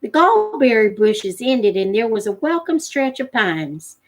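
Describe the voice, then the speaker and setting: A woman's voice: fairly high pitch, big pitch swings, a little slow. A woman narrating a storybook in a live read-aloud, as the host of a read-along.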